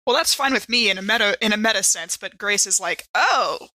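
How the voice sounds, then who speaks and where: A woman plays a tabletop roleplaying game, slipping casually in and out of character. Her voice is bright and sharp at a middle pitch, recorded very dry, and she doubles back on words hesitantly. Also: animated, brisk, no echo, rough recording.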